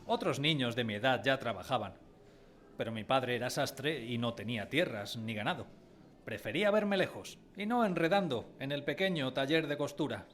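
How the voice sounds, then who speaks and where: A man's voice: medium pitch, animated, normal speed, a bit bright, natural voice. A man playing a wistful narrator who recalls his village boyhood in a scripted radio drama. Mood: wistful, storytelling. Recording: music under the voice.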